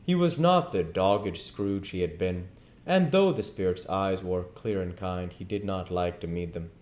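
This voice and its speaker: A man narrating a literary story as an audiobook reader, with deliberate, emphatic phrasing and occasional stumbles. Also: low voice, darkish tone.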